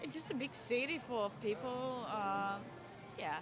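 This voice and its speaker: Young Polish woman, halting speech. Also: fairly high pitch, music in the background. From Poland. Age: 20s. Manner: halting, filler-heavy. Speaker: interviewee, working visitor.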